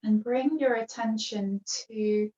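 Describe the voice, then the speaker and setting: A woman teaching yoga, guiding a relaxation practice with gentle, unhurried phrasing and long pauses. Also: fairly high pitch, echoey room. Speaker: yoga teacher leading a guided relaxation class. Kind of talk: guided meditation instruction. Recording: dull, lo-fi video call sound.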